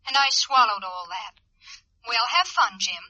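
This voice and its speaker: A wounded, jealous wife in a vintage radio drama. Her voice is high and extremely bright and sharp, every phrase pointed with sarcasm. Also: animated, unhurried, exaggerated voice, low-quality recording. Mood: hurt, sarcastic. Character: hurt, jealous young wife on the telephone. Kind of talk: 1950s radio drama acting.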